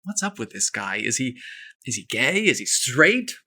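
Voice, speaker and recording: A man's voice: medium pitch, sing-song, normal speed, sharp tone, full character voice. A man voicing an anxious, eager young male golf pro in an audio story, fretting with questions. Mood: puzzled, pining. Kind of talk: audio story character acting.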